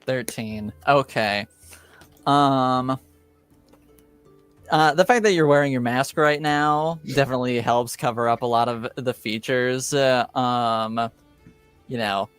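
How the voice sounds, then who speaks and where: Male game master, slow and hesitant, mid-pitched, narrating tabletop fantasy play. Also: fairly bright tone, clear, resonant tone, no echo. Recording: music behind the voice. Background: background music.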